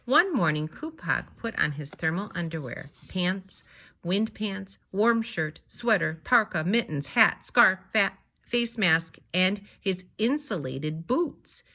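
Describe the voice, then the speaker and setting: A woman reading a children's story aloud, narrating expressively in deliberate, measured phrases. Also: mid-range voice, sing-song, pushed and bright. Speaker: storybook reader.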